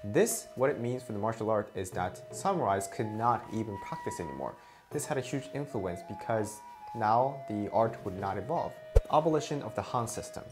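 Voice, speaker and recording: A man's voice: lowish voice, lively intonation, moderate pace, over music. A young Japanese man with a Japanese accent, a karate instructor hosting a video lesson to camera, earnest and emphatic, repeating key points. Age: young adult. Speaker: karate instructor and channel host. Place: indoor studio before a plain grey backdrop. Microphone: lapel mic.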